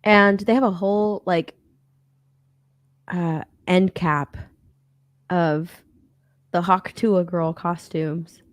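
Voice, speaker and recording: A woman's voice: fairly low pitch, steady tone, takes it slowly, pauses often, no echo. A woman from Texas co-hosting a casual stream chat, rambling, laughing often and trailing off mid-sentence. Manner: rambling, giggly, trails off and restarts. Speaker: co-host.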